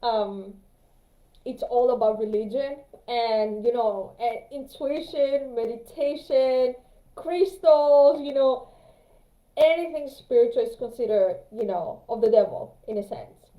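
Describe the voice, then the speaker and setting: High-voiced woman from Greece, hesitant. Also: measured pace, mellow. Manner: hesitant, many fillers and restarts. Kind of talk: casual spiritual chat. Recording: echoey room.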